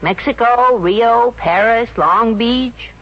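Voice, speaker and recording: A woman's voice: a little high, takes it slowly. An actress playing a wry, slangy, good-hearted young American showgirl secretary in a 1950s radio comedy. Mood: wry, breezy. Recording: muffled, noisy 1950s radio recording.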